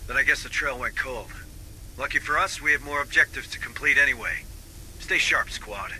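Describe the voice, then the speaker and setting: A man's voice: medium pitch, normal speed, bright, forceful tone, slight background noise, low-quality recording. A man playing a no-nonsense space marine sergeant in a video game, commanding and clipped. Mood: steady, practical. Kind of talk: scripted video game dialogue. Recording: faint background ambience.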